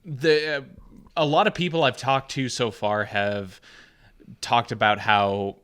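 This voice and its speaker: A man's voice: medium pitch, steady tone, unhurried, fairly bright tone, clean tone. A young adult man co-hosting a casual talk podcast, thinking aloud with many restarts and fillers. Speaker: podcast co-host.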